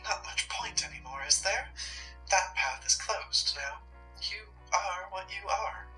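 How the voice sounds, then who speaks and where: A woman playing a cold, scheming supernatural curator, haughty, condescending and deliberate in a sardonic, theatrical way. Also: medium pitch, lively intonation, sharp tone, far from the mic, faint music behind, poor audio. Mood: smug, calculating. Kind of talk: dramatic roleplay acting.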